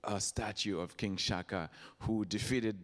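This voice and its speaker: Low-voiced man from Haiti. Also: steady tone, fairly bright tone, big-room sound. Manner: reflective, hesitant, halting. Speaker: church member, guest.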